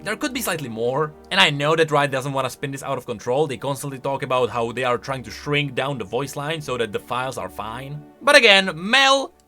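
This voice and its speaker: A man giving casual, opinionated reaction commentary on video game lore in an animated, conversational way. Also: medium pitch, brisk, hardly any pauses. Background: faint music.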